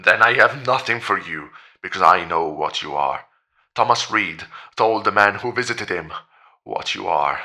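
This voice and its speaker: Male actor as a terrified male priest for audio horror: strained, forceful edge, halting stammer, desperately clinging to reason. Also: lowish voice, full character voice. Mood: defiant. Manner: stammering, breathless, panicked. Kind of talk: horror audio drama acting.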